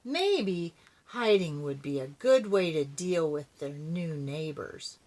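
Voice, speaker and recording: A woman's voice: low voice, lively intonation, takes it slowly, a little mellow. An older woman reading a children's story aloud expressively, adding chatty asides to her young listeners. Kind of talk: animated read-aloud storytelling.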